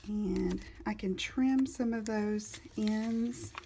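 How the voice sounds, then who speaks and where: Unhurried woman demonstrating a craft. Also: medium pitch, gravelly, warm tone, slight background noise. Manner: unhurried, talks while working, stops to search for supplies. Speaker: card-making demonstrator. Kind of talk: casual step-by-step craft tutorial.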